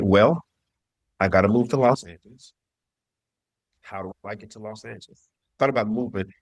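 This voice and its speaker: A middle-aged man from the southern US shares his story in an interview. His voice sits on the low side, recorded very dry. He talks emphatically, repeating phrases and quoting his own past thinking. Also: no echo. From southern US (Mississippi, North Carolina). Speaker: interview guest, entrepreneur.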